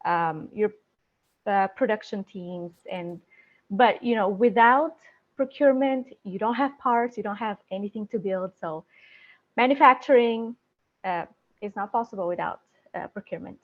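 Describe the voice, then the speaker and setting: A woman in procurement speaks as a guest in a career chat. Her delivery is thoughtful and halting and full of fillers. Her voice sits at a middle pitch, and the recording sounds a little dull and muffled. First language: not Spanish; speaks several languages. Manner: thoughtful, halting speech with many fillers, laughs readily. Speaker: procurement specialist, interview guest. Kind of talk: career interview. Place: San Diego area.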